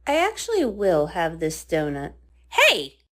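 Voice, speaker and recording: A woman's voice: on the low side, wildly swooping pitch, unhurried, fairly bright tone, full character voice. A woman voicing a pampered, snarky young female pony princess in a fan comic dub, sassy and teasing.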